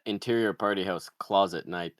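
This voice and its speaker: Very deep male screenplay narrator. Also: normal speed, no room sound, clean studio sound. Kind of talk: reading screenplay stage directions.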